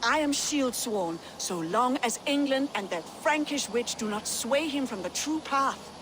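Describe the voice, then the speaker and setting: An actress playing a proud, bitter Viking shield-maiden in a video game, with a defiant, clipped delivery. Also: female voice, sits high, lively intonation, unhurried, background noise. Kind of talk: video game dramatic acting.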